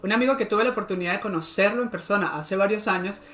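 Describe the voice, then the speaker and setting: A man's voice: high-pitched, fast talker, sharp tone, echoey room. A man with a Venezuelan accent hosting a podcast, chatting in a friendly, rambling way with restarts. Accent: Venezuelan Spanish. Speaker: podcast host. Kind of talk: casual interview.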